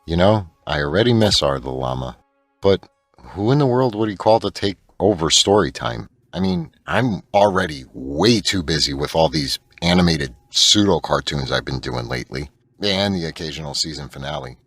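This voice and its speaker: A man's voice: rumbling low, lively intonation, moderate pace, a bit bright, dry recording, faint music behind, exaggerated voice. A man acting a grumbling, sarcastic spaceship crewman in an amateur comedy radio drama, blustering and self-important. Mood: wistful, self-important.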